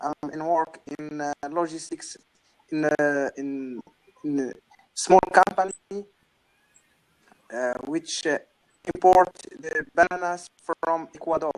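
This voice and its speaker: A man in his twenties with a Moroccan accent, chatting casually and haltingly, repeating words as he searches for the next. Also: a little high, steady tone, low-quality recording. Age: 20s. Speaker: guest caller. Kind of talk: casual online chat in halting English.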